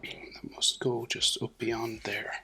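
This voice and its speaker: A man's voice: medium pitch, little pitch movement, slow pace, pushed and bright. A man with a head cold, casually talking through a drawing step by step, thinking aloud with many fillers and dropping to near whispers. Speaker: drawing tutor.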